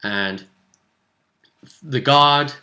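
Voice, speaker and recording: A British man with a bright, forward voice recaps a tabletop role-playing game as its game master. He talks in a conversational way, teasing his players with hints, at a middling pitch. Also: extremely slow.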